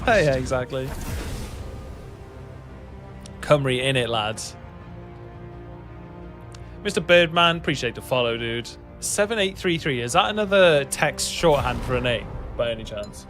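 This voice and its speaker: A man in his thirties from West Wales with a Welsh accent, chatting casually to his stream while he plays, swearing often and laughing easily. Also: fairly high pitch. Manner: swears casually, laughs a lot, rambles to chat. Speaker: game streamer. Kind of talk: casual live-stream chat. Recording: music playing behind.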